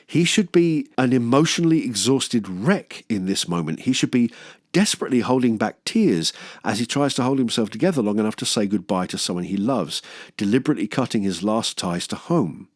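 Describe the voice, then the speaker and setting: A man narrates a scripted video essay as the host of a film channel. His voice sits a little low but moves around with plenty of expression. He is emphatic and opinionated, and the sound is dry, with no room around it. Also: no echo. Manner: emphatic, opinionated, uses colourful turns of phrase.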